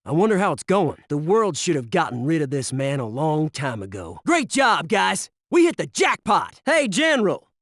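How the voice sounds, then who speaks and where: A man's voice: fairly high pitch, wildly swooping pitch, normal speed, few pauses, fairly bright tone, no echo, studio quality, exaggerated voice. A man voicing a cocky, wisecracking American special forces soldier for a video game, with slangy military banter and an American accent. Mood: wry, joking. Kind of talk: scripted video game voice lines.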